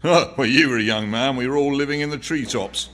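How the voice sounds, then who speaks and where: A man's voice: medium pitch, a little fast, a bit bright, voice pushed up, natural voice. A man voicing a stout young barman in a story reading, curt and mocking. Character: scornful, stout young English pub barman.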